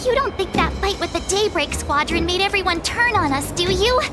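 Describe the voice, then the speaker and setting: High-pitched little worrier. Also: female voice, lively intonation, brisk. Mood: worried. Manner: fretful. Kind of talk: video game voice acting. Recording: loud music over the voice.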